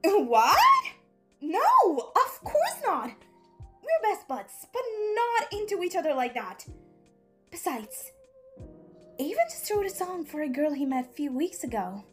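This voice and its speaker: A woman's voice: high voice, sing-song, measured pace. A woman voicing a polite, cheerful young female angel assistant in a comic dub, speaking expressively. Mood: flustered. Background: background music.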